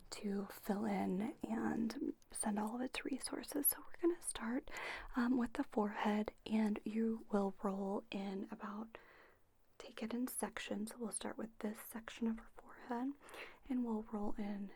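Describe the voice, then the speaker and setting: A woman softly whispering an ASMR roleplay as a spa owner, giving gentle, patient step-by-step instructions. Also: a little high, steady tone, unhurried, gravelly, husky, no room sound.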